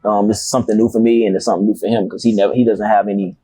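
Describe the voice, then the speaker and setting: A young man talks fast and loose, full of street slang. His voice is low-pitched and husky, with a dark, mellow tone. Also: flat delivery, dry recording, low-quality recording. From Miami, Florida. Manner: laid-back, slangy, loose storytelling with profanity. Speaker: rapper guest. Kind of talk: casual interview chat.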